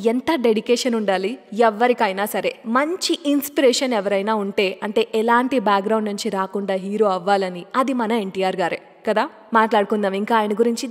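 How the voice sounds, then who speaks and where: A woman hosting a radio show of classic film songs in a chatty way, mixing in English words. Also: on the high side. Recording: long hall-like reverb.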